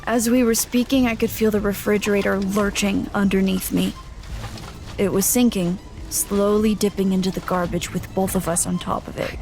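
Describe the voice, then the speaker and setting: A woman plays a small human lost in strange lands in an audio drama. The voice sits at a middle pitch, measured in narration and hesitant in questions. Faint music runs underneath. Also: steady tone, a little mellow, close to an everyday voice. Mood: wary narration. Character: small, wary, practical human traveler lost in a landfill. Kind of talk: audio drama first-person narration and dialogue.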